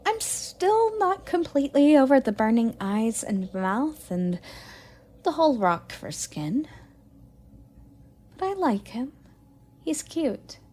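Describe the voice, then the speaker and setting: A woman playing a curious, playful young goddess in an audio roleplay, sweet and expressive with a slightly haughty streak. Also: sits high, heavily acted. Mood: playful, cooing. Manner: inquisitive, expressive, cooing at a pet. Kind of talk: romantic audio roleplay addressed to the listener.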